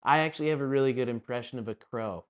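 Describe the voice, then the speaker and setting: Clear-voiced young man hosting casually. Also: mid-range voice, fast. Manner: slangy, informal. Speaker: podcast host, interviewer. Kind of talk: casual chat.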